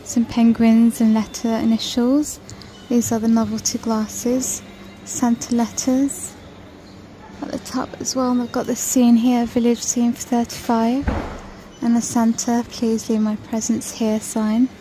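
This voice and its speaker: A woman's voice: a little high, level tone, measured pace, dark tone, slight background noise, poor audio. A woman vlogging in a large store aisle, chatting casually and reading out prices as she browses. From United Kingdom. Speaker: shopping vlogger. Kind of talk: casual shop-with-me narration. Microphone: handheld phone camera.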